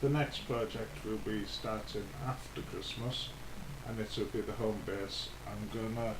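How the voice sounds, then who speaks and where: A man's voice: fairly low pitch, fairly even intonation, unhurried. A British man giving a casual DIY vlog explanation in an informal, hesitant, rambling way that tends to trail off. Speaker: hobbyist video host. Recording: echoey, clearly noisy home-video sound.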